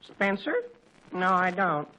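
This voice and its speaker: Shy, awkward young suitor. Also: male voice, high voice, fairly even intonation, pushed and bright, heavily acted. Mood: bashful. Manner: halting, bashful, brief replies. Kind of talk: 1940s radio sitcom acting. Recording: noisy 1940s radio recording.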